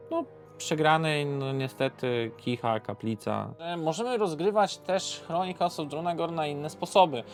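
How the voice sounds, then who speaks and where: A man presenting a board game in an informal, unscripted way, conversational, posing questions and answering them himself. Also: medium pitch. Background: background music.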